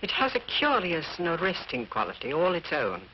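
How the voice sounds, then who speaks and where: Imperious courteous hostess, older sister, formal gracious phrasing, animated intonation, faint hiss, muffled old film. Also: female voice, lowish voice. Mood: stately, controlled. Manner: formal, gracious, measured wording. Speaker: film actress. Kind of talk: 1940s British film melodrama acting. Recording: muffled, hissy 1940s film soundtrack.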